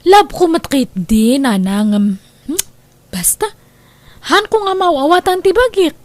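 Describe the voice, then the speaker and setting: A woman's voice: high voice, animated, unhurried, a little mellow, no room sound. A woman with an Ilocano accent playing a reluctant, stubborn young girlfriend in a comedy drama. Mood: wistful. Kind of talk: comedy radio-style drama acting.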